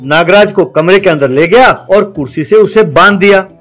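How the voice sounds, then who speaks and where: A man's voice: high-pitched, moderate pace, a bit bright, faint music behind. A man narrating a scripted story as a storyteller.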